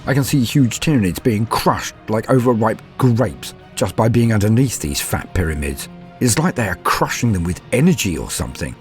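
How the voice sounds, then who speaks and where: Male narrator as despairing, awestruck soldier in audio war drama. Low, theatrical, deliberate delivery over music. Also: animated, a little mellow, lightly acted. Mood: stunned awe. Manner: grave, theatrical, deliberate phrasing. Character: doomed human soldier, fearful, awestruck, despairing. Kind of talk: dramatic first-person scripted narration.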